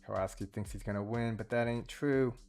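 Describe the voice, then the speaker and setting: A man's voice: mid-range voice, steady tone, a little fast, darkish tone. Man musing over gameplay, faint music behind. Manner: musing, rambling with restarts. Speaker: gaming channel host. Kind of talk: casual gameplay commentary.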